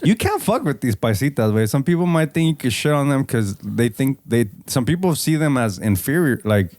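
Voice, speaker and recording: A man's voice: mid-range voice, very animated, a little fast, a little mellow, dry recording. A man, co-hosting a podcast in loose banter, cursing often and dropping into Spanish slang. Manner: swears a lot, mixes in Spanish slang. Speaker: podcast co-host. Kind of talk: casual banter.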